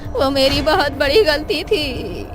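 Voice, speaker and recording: A woman voicing a frightened, remorseful old village mother in an animated horror story, speaking in trembling, stammering pleas. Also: high-pitched, slow, background noise. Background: music.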